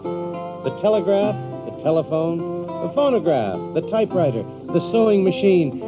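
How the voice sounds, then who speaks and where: An actor playing a boastful, excitable Yankee adventurer who tells his own tale theatrically in an audiobook drama. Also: sits high. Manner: theatrical, animated, with chuckles. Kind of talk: dramatized audiobook first-person narration. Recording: dull lo-fi audio with loud music.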